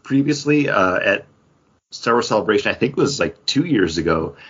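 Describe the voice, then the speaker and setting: Male podcast co-host, low and slightly rough voice, casual fan chat, enthusiastic, dulled lo-fi audio. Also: animated, moderate pace, a bit bright. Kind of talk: casual geek news discussion.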